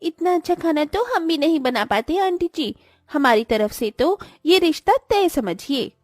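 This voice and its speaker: A woman voicing a chirpy, expressive young sister-in-law in a family cartoon. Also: sits high, unhurried, no echo, clean studio sound. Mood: pleased.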